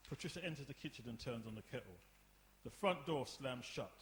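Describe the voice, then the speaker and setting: A man's voice: medium pitch, lively intonation, a little fast, fairly bright tone. A man narrating the stage directions of a radio play from a script. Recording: echoey room, live performance, hiss.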